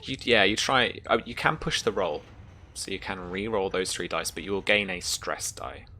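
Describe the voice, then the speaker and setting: A game master improvising narration and rulings for a tabletop roleplaying game, with a halting delivery. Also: low voice, sharp tone, slight background noise.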